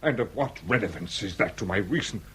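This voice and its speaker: An actor playing a haughty, indignant Victorian lord with a British accent and a pompous, ornate way of speaking in a period radio drama. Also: male voice, on the low side, expressive, brisk, fairly bright tone, husky, exaggerated voice.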